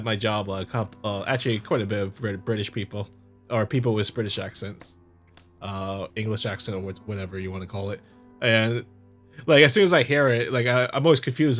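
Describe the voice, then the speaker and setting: A man's voice: fairly low pitch, a bit bright. A man co-hosting a casual game playthrough, chatting in a joking, teasing way. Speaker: gaming channel co-host. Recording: music playing behind.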